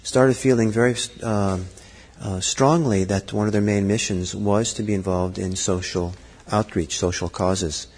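A middle-aged man giving a Buddhist dharma talk, thoughtful and halting, with frequent fillers and restarts. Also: on the low side, steady tone, darkish tone, husky. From Norway. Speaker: Buddhist teacher. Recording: long hall reverb.

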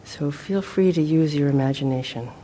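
A woman leading a guided meditation as a teacher, speaking gently and in a measured way with long pauses. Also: sits low, slight background noise, poor audio.

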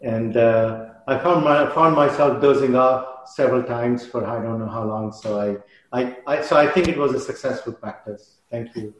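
A man sharing in a meditation group over a video call, speaking slowly and haltingly with many restarts. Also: mid-range voice. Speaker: participant. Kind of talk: casual sharing. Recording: very echoey room.

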